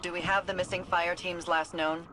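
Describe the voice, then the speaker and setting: An actress playing a tough, wry deputy commander in a video game, brisk and dry under fire, over game music. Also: female voice, lowish voice, level tone, heavy background noise, close to an everyday voice.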